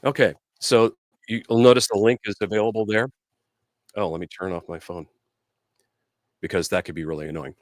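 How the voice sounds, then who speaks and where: A man's voice: lowish voice, fairly even intonation, a little fast, pauses often, dry recording. A man hosting a casual live product demo and interview, explaining things in an informal, conversational way. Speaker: host and product demonstrator.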